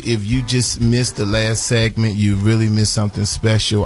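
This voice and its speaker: A man in his forties with a raspy voice, hosting a live morning radio show and chatting through announcements with lots of fillers and restarts. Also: lowish voice, a little mellow. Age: 40s. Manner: chatty, repeats phone numbers, many fillers and restarts.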